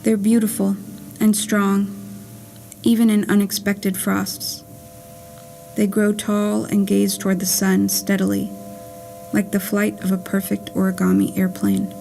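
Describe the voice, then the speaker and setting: A woman plays a wry, whimsical letter writer speaking from beyond, in a poetic puppet-theater monologue. Her mid-pitched voice has a clear creak at its edges. She reads deliberately and with measure, over faint music. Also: mellow. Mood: wry, wistful. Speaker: writer and narrator reading her play. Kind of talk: scripted poetic monologue, letter read aloud.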